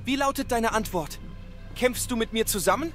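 Man voicing a high-pitched, commanding artificial intelligence. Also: moderate pace, fairly bright tone, soft background music. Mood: expectant. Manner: formal, knowing, demanding. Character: expectant, commanding artificial intelligence addressing a human. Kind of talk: audio drama acting.

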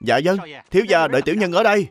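An actor playing a young male servant in an animated drama, deferential, eager and given to whining. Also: medium pitch, racing, soft background music, close to an everyday voice. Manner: deferential, whiny, exclamatory. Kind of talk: animated drama dub acting.

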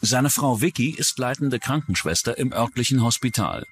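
Male documentary narrator, medium pitch, animated delivery, scripted true-crime read. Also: moderate pace, slight background noise.

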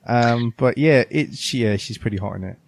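A man's voice: mid-range voice, normal speed, gravelly. A man co-hosting a podcast, chatting casually and informally with friends. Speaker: podcast co-host. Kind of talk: casual chat.

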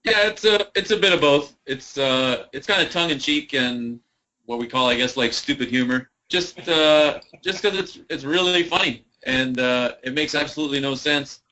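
A man with a bright, forceful voice talks casually as a podcast guest, easygoing and joking. His pitch jumps up when he gets going. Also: moderate pace. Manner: relaxed, joking, swings up in pitch. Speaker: brewery guest. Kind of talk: casual interview chat.

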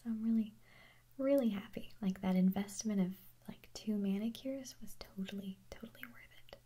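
A young woman whispering softly in a chatty, rambling way as she answers viewer questions for an ASMR video. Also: mid-range voice, steady tone, a little fast, slightly rough. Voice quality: soft unvoiced whisper. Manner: chatty, rambling, self-correcting, giggly.

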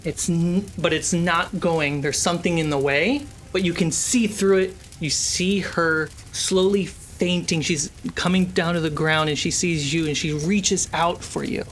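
Female game master, low register, vivid cinematic tabletop narration over faint noise. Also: fairly even intonation, unhurried, hardly any pauses. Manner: dramatic, vivid storytelling. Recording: noisy, with ambience behind.

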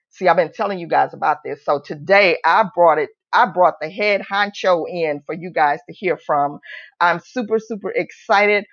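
A woman's voice: medium pitch, no room sound. A woman hosting a casual live stream, hyping her guest and urging viewers to share. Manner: hype, calls on viewers to share and tag. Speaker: host. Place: home room, virtual backdrop. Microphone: headset on video call. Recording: dry, slightly dull sound.

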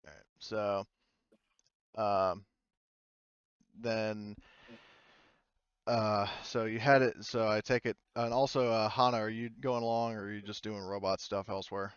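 A man running a tabletop roleplaying game as game master, narrating informally and haltingly and speaking for characters in his own voice. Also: fairly low pitch, fairly even intonation, smooth, no room sound, muffled recording.

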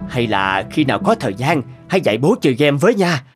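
A man voicing a middle-aged rural father in an animated cartoon, narrating and talking. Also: medium pitch, fast, lightly acted. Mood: coaxing. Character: middle-aged rural father, worried then hooked on gaming. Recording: music under the voice.

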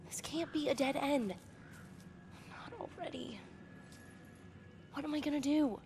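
A woman's voice: high voice, unhurried, a little mellow, a little hiss or hum. An actress playing a snappy, guarded young female medium who talks curtly and sarcastically in a video game cutscene. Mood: anxious. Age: young adult. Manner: curt, sarcastic.

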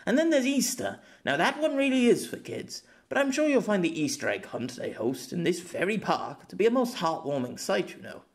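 A man's voice: sits high, big pitch swings, moderate pace, few pauses, voice pushed up. A man voicing a chatty, bashful young man who is eager to impress, in an audiobook story.

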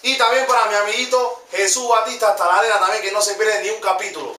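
Brief: twenties Panamanian male vlogger, bright high voice, rambling and jokey, recorded from a distant camera. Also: steady tone, fast talker. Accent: Panamanian Spanish. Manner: slangy, joking, rambling. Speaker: vlog host. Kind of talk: casual comedic vlog narration. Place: small room with computer desk. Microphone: camera mic from a distance. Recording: echoey room, distant mic, faint noise.

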